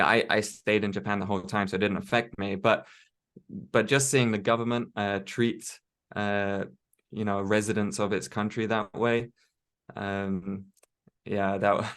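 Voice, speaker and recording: Man, low voice, flat delivery, hesitant with fillers, video call interview guest. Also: smooth. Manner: hesitant, many fillers and restarts. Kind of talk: casual interview chat. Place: home in Tokyo.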